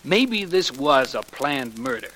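An actor playing a gruff, stubborn, exasperated homicide sergeant in a 1940s radio detective drama, blustering and slangy as he argues. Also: medium pitch, sing-song, takes it slowly, pushed and bright, a little hiss or hum, exaggerated voice. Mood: insistent.

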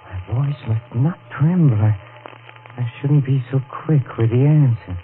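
A man's voice: medium pitch, a little slow, over music, lightly acted. Man playing a stammering, desperate murderer. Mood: rehearsing. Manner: stammering, breaks into hysterical laughter. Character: desperate man who killed a rival. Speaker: radio drama actor. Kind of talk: 1940s radio acting. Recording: tinny lo-fi 1940s radio broadcast with hiss.

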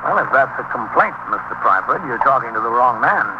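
An actor playing a measured, dryly firm police precinct captain in a 1950s radio drama. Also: male voice, mid-range voice, lively intonation, natural voice. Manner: measured, dry, authoritative. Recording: very noisy muffled 1950s radio recording.

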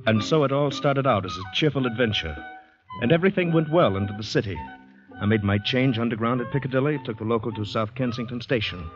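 A man's voice: mid-range voice, lively intonation, quick pace, natural voice. An actor playing an anxious ex-soldier in a 1940s radio drama, brooding and hesitant as he talks himself through panic. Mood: tense storytelling. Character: anxious ex-soldier fighting down panic, recounting his ordeal. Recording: dull old radio recording with music.

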